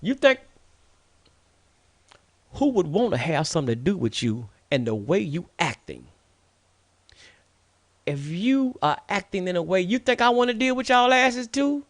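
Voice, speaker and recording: A man's voice: sits high, big pitch swings, a little slow, pauses often, no echo. Fired-up preachy man, dry sound. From United States. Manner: preachy, scolding, repetitive emphasis. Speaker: radio show host. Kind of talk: impassioned monologue.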